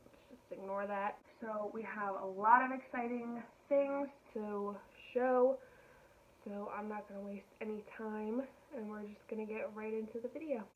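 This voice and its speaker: A woman's voice: mid-range voice, fairly even intonation, moderate pace, slight background noise. A young woman gushing over the items she shows in a casual haul video, filmed in her kitchen at home. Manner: gushing, enthusiastic. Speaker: vlogger. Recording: tinny phone-like sound.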